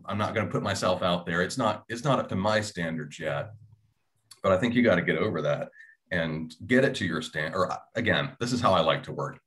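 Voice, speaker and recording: A man's voice: deep voice, a bit bright. A middle-aged man talking candidly and conversationally as a panelist on a video call, often checking in with a question. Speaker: panelist, audio drama writer. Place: indoor room at home, video call.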